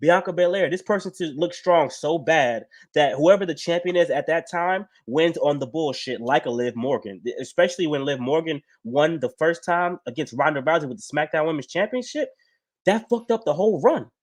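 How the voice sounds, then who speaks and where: A man's voice: on the high side, lively intonation, moderate pace. A man co-hosting a casual wrestling chat stream, blunt and profane. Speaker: podcast co-host.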